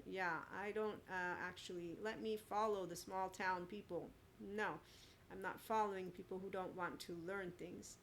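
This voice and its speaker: A woman's voice: medium pitch, a bit bright, slight background noise, low-quality recording. A woman in her forties giving an opinionated solo monologue as a podcast host, blunt, emphatic and sarcastic. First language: bilingual with Italian. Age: 40s.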